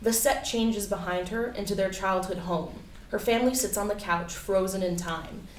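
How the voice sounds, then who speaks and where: A woman's voice: medium pitch, normal speed. A woman reading stage directions as the narrator of a staged play reading. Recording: echoey room, distant mic, faint noise.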